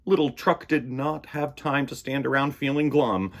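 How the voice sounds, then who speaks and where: A man's voice: medium pitch, steady tone, moderate pace. A man reading a picture book aloud to children with dramatic emphasis and stretched words. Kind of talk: animated children's story reading.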